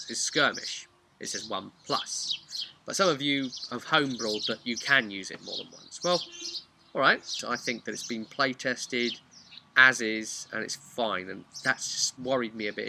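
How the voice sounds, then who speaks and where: Man, average pitch, casual game-master vlog, rambling, candid, noisy background, chirping birds. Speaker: video host and tabletop game master. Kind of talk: casual unscripted explainer vlog.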